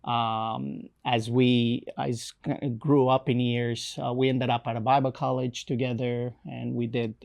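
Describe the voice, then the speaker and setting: A young man from Romania with a Romanian accent, telling his life story in an interview with many pauses, fillers and restarts. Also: medium pitch, flat delivery, creaky, a little mellow. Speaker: missionary guest. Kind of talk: interview, personal testimony.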